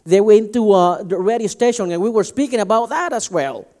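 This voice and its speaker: A man's voice: high-pitched, animated, fairly quick, a little mellow. A man from Latin America preaching a sermon, emphatic and often restarting his sentences. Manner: emphatic, restarts and stumbles over words.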